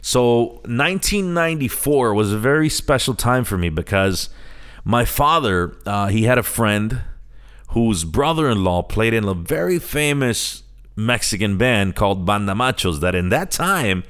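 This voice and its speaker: Man, American accent, lowish pitch, casual podcast talk, English mixed with Spanish phrases. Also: animated, a little slow, a bit bright, clear, resonant tone. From Chicago, United States. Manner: conversational, switches between English and Spanish. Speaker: podcast host. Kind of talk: casual solo podcast introduction.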